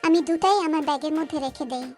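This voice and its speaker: A woman's voice: high voice, fast talker, darkish tone, soft background music. A woman voicing a chatty, carefree young schoolgirl in a cartoon story.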